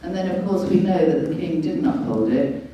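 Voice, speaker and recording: A British woman with a low, very dark voice gives an informal lecture to a public meeting. She is emphatic and didactic, posing rhetorical questions. The sound is heavily echoed and comes from well away from the mic. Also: animated, normal speed, slight background noise. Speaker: guest speaker, lawyer. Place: large echoey indoor venue at a public meeting. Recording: dull, very echoey hall, distant mic.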